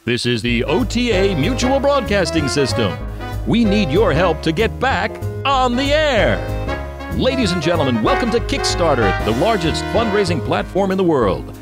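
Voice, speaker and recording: Higher-pitched, lively old-time radio announcer. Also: male voice, moderate pace. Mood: earnest, official. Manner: formal, declamatory announcer phrasing. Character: formal, rousing 1940s radio network announcer. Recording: music under the voice. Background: music.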